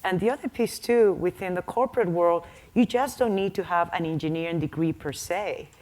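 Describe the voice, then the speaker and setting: Woman, panelist, lowish voice, direct and encouraging, conference talk. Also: fairly quick, a little mellow. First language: not English. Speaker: panelist, tech manager. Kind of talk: panel discussion.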